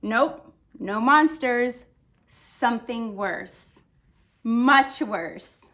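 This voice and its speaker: A woman reading a children's picture book aloud, phrasing deliberately with dramatic emphasis. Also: a little high, lively intonation, a bit bright. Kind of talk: animated children's story read-aloud.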